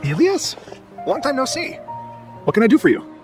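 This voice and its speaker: A man's voice: very high voice, wildly swooping pitch, normal speed, over music, heavily acted. An actor playing a nervous, chatty, hesitant young male researcher in a video game. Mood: surprised, curious.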